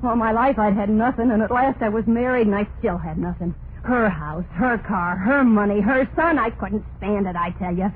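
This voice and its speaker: An actress playing a tormented, murderous young wife in a vintage radio horror play, confessing in a brooding, repetitive monologue with plain folksy speech. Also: female voice, medium pitch, normal speed, hardly any pauses, phone-quality sound, lightly acted. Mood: bitter, resentful. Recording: noisy, band-limited vintage radio recording.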